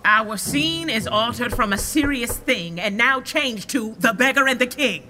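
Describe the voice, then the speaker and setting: An actress playing a commanding, mercurial monarch in a Shakespearean radio drama, with theatrical, authoritative delivery. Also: female voice, on the high side, lively intonation, measured pace, bright, forceful tone, soft background music. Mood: grave, indignant.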